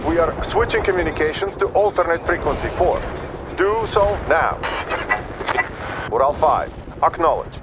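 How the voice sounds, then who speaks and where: An actor playing a grave, dutiful Soviet major in a video game, speaking in sober, clipped military phrases. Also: male voice, on the high side, expressive, normal speed, pauses often, heavy background noise, lightly acted. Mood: commanding.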